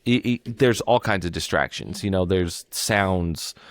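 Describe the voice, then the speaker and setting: A man's voice: sits low, measured pace, clear, resonant tone, no echo, clean studio sound. A young adult man co-hosting a podcast, rambling in casual chat with plenty of fillers and offhand swearing. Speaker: podcast co-host.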